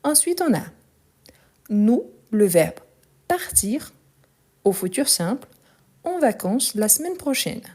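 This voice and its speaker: A woman teaching French in a live online lesson, speaking slowly and clearly and repeating phrases for learners. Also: on the high side, very animated, a little mellow. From France and Brazil.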